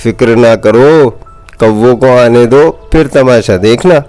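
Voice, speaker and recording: A calm, clever pigeon who fears nothing, voiced by a male storyteller. He talks with steady confidence in children's storybook style, with music underneath. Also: mid-range voice, takes it slowly, smooth, natural voice. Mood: calm, confident. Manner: unafraid, self-assured.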